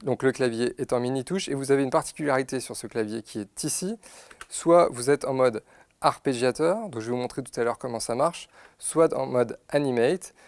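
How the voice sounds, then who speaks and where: A man giving an unscripted, methodical product demonstration, pausing to find his words as he explains each step. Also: medium pitch, no echo. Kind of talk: unscripted instructional product demo. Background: synthesizer demo sounds.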